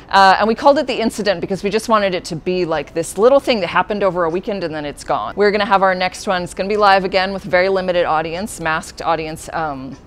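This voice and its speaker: A woman with a fairly low voice, a puppeteer guest giving casual, friendly explanations with many fillers. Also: slight background noise.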